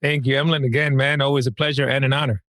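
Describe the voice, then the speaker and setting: A man from the Dominican Republic, a guest on a podcast, telling his story in an easygoing, warm way. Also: medium pitch, little pitch movement, quick pace, no room sound, studio quality. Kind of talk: casual interview chat.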